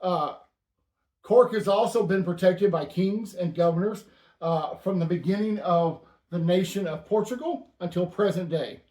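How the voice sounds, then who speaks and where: A man with a fairly high voice hosts a casual show about stamps. He delivers facts and jokes in a dry, deadpan way. The room around him echoes noticeably. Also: moderate pace. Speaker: host. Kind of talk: casual stamp-collecting chat.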